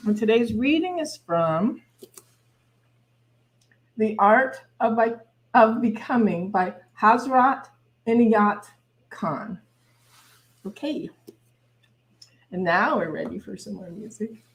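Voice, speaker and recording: A woman's voice: mid-range voice, animated, takes it slowly, long pauses, low-quality recording. A woman host giving casual, chatty announcements for a spiritual service.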